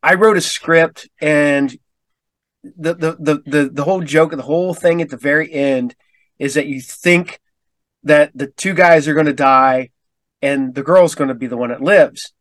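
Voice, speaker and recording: Host: man, a touch higher-pitched, halting and repetitive, lots of fillers, dry sound. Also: fairly bright tone, no room sound. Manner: hesitant, repeats words, many fillers. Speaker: podcast host. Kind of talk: casual conversation.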